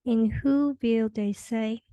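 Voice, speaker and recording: A young woman narrates a children's story aloud. Her voice is fairly high yet soft-edged and mellow, and she takes the lines slowly. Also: steady tone, clean tone, no room sound. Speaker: storybook reader.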